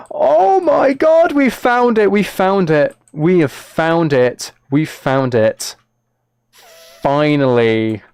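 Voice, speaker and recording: A man's voice: a little high, expressive, very slow, darkish tone, clean tone, no echo. British man live streaming, dry close-miked sound. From United Kingdom. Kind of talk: casual game livestream chat with viewers.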